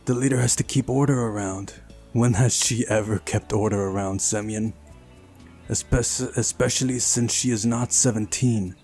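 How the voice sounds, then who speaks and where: A man's voice: fairly low pitch, steady tone, moderate pace, a little mellow. A man hosting a visual novel playthrough, reading narration aloud and adding wry, casual asides. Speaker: video game playthrough host. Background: faint music.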